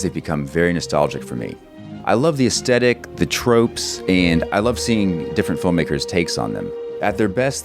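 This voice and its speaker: A man introducing his podcast as its host in a scripted promo. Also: low-pitched. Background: music.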